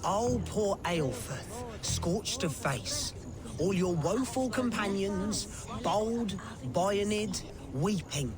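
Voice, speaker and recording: An actor playing a pompous, theatrical poet who declaims in florid, drawn-out phrases. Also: male voice, high-pitched, lively intonation, crowd noise, exaggerated voice. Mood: grandiose. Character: pompous, theatrical would-be poet composing a grisly funeral epic. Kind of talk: video game character acting.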